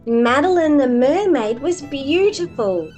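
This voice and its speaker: A woman's voice: high-pitched, animated, a little slow, darkish tone, faint music behind. A woman narrating a children's storybook expressively as a read-aloud storyteller.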